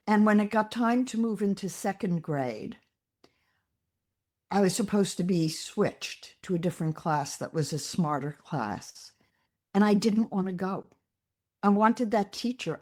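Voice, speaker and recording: An older woman speaking as a guest psychologist, earnest and reflective, gently teaching as she talks. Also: mid-range voice, lively intonation, unhurried. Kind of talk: interview conversation.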